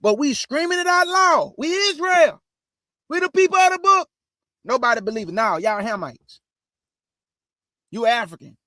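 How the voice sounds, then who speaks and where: A man's voice: very high voice, very animated, normal speed, pauses often, pushed and bright, no echo, clean studio sound. A man hosting his show, giving casual religious commentary in a preachy way and calling his listeners family. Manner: preachy, addresses audience as family. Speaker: host.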